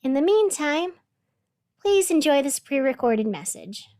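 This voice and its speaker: A woman playing a whimsical, musing radio host of a strange city in a scripted fiction podcast, with dramatic pauses. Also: high voice, sing-song, a little mellow. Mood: cautious, announcing. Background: music behind the voice.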